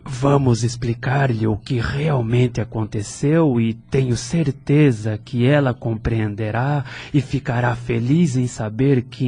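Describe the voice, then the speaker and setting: A male actor plays a middle-aged Brazilian widower, deeply moved and tender. The voice is very husky and breathy, at a medium pitch. The delivery is slow, halting and formal, in audio drama style. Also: dark tone, heavily acted.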